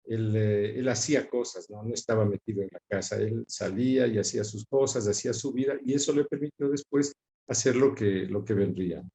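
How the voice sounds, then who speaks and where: A middle-aged man with a Quito Ecuadorian accent, reminiscing conversationally as an interview guest in a reflective, halting way. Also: on the low side, dark tone. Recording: roomy, echoing sound.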